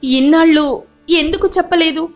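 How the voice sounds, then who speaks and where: A woman's voice: very high voice, fairly even intonation, moderate pace, faint music behind, voice pushed up. A woman voicing a grieving, tearful mother who pleads plaintively in a dramatic audio story. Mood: shocked. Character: grieving, tearful mother longing for her daughter.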